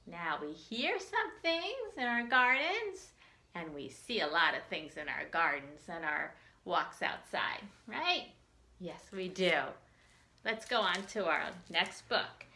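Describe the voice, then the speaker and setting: Bright, animated woman reading to small children. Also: medium pitch. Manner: animated, sing-song, encouraging. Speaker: children's librarian storyteller. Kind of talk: children's storytime read-aloud, questions to young listeners.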